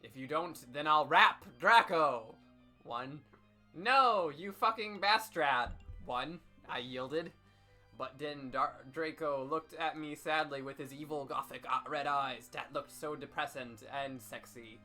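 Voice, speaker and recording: Bright-voiced man giving theatrical reading. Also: a little high, slow, soft background music. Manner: theatrical, emphatic, with sudden shouts. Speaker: host reading fan fiction aloud. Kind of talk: over-dramatic comedic reading.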